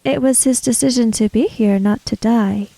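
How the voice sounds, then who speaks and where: A woman roleplaying an earnest, questioning character in a samurai-era tabletop game, speaking in measured, formal sentences without contractions. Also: on the high side, creaky, warm tone, no echo. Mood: quietly challenging.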